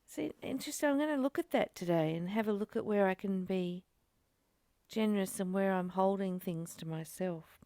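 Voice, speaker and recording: Clear-voiced woman, close dry sound. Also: mid-range voice, moderate pace, warm tone, no echo. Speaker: study group co-host, reader. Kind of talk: reading aloud and reflective casual discussion.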